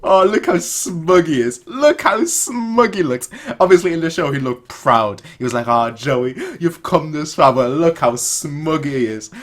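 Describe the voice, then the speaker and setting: A man giving casual, excitable reaction commentary over a video, often repeating himself for emphasis. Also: on the high side, a little slow, few pauses.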